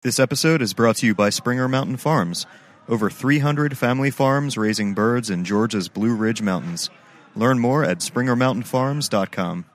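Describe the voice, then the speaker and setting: A man with a mid-range voice reads a short scripted sponsor message. His delivery is measured and even. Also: medium pitch. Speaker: sponsor announcer. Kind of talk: scripted ad read.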